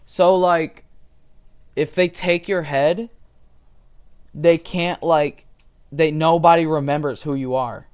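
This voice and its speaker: A man giving casual, slangy reaction commentary, halting and repeating himself as he goes. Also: fairly high pitch, steady tone, darkish tone, smooth. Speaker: reaction video host. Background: music.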